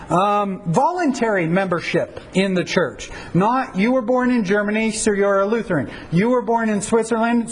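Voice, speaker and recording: A man with a notably high voice leads a church history class as its pastor-teacher. He talks informally, tossing out rhetorical questions and fillers as he goes. A faint hiss sits under the recording. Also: poor audio. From United States. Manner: conversational, asks rhetorical questions, frequent fillers.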